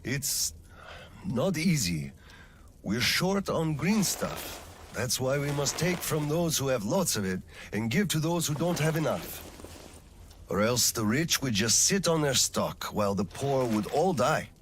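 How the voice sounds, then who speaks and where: An actor playing a weary, principled middle-aged colonel and gentle father in a video game, speaking in a measured, firm way. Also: mid-range voice, big pitch swings, slight background noise. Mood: tired, fatherly. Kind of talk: cinematic game dialogue acting.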